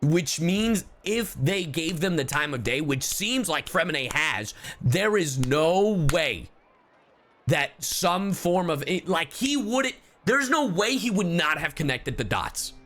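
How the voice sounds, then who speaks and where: A man livestreaming a game with casual commentary, rambling in an animated way and swearing freely. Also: a little high, takes it slowly.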